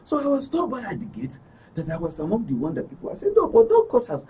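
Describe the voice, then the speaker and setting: A man's voice: sits high, wildly swooping pitch, quick pace, dark tone, breathy edge. A man with a Nigerian accent speaking as a studio guest, emphatic and repetitive in an impassioned, pleading way. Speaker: studio guest, club member. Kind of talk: impassioned interview talk. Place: radio and TV studio. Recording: distant microphone.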